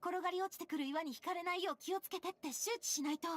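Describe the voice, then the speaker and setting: A woman's voice: very high-pitched, very fast, a little hiss or hum. A woman voicing a determined young female leader in a Japanese game, giving urgent orders.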